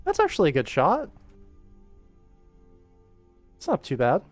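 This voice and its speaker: A man's voice: on the high side, huge pitch swings, unhurried, no echo, faint music behind. A man casually commentating on his animation work stream, thinking aloud and chatting informally with viewers. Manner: informal, rambling to himself and viewers.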